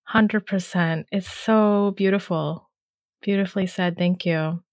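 Softly affirming woman podcast host. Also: mid-range voice, fairly even intonation, a little slow, no room sound, studio quality. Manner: warmly affirming, soft back-channel murmurs. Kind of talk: relaxed spiritual conversation.

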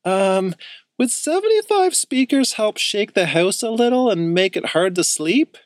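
Man doing a squeaky scared little kid, hesitant, whimpery. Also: very animated, measured pace, no echo. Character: nervous, timid young boy.

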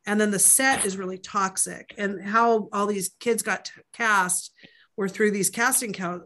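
Chatty bright-voiced woman author. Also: medium pitch, steady tone, unhurried. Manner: chatty, rambling, with many fillers and asides. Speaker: author guest. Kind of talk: casual podcast interview.

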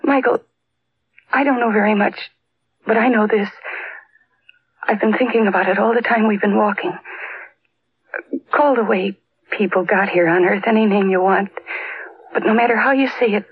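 An actress playing a frightened, earnest young wife in an old-time radio drama, halting and pleading in her delivery. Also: mid-range voice, steady tone, slightly rough, no room sound. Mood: fervent, insistent. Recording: noisy, dull old radio recording.

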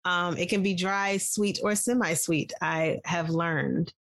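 A woman's voice: lowish voice, slow, fairly bright tone, dry recording, studio quality. A woman co-hosting a casual podcast, chatting in a playful, self-mocking way. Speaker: podcast co-host. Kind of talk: casual chat.

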